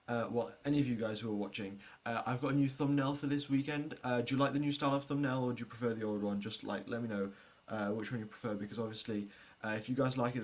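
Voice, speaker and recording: A man with a mid-pitched voice hosts a livestream in a casual, chatty way. He speaks to his viewers and gives race preview commentary, rambling a little, doubling back on words and letting sentences trail off. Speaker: livestream host.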